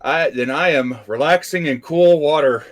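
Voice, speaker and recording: A man's voice: a little high, normal speed. Man casually playing a tabletop game. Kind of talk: casual role-play chat.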